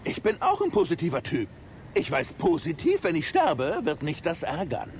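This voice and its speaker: Sarcastic, animated spaceship pilot. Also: male voice, fairly high pitch, moderate pace, background noise. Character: grumpy, wisecracking male pilot with gambling habit. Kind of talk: German audio drama acting.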